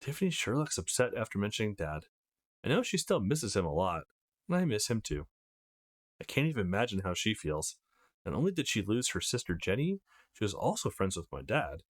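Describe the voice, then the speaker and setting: A man's voice: on the low side, sing-song, brisk. A man reads as a young man leading a visual novel. He is earnest and easily embarrassed, thinking aloud self-consciously, and his intonation varies a great deal. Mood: somber. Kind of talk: visual novel character reading.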